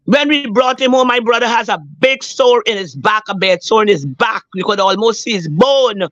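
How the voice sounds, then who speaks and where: A hoarse woman, calling a talk show and earnestly telling a personal story, repeating herself for emphasis. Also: fairly high pitch, expressive, normal speed, a bit bright, no room sound, muffled recording. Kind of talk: emotional personal account.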